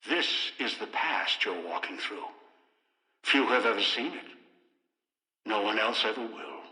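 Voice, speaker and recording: A man's voice: fairly low pitch, expressive, measured pace, bright, forceful tone, echoey room, in a large hall, exaggerated voice, low-quality recording. An older man acting a bitter, commanding old schemer in a video game, giving terse, ominous orders over a radio speaker. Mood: obsessive, gloating.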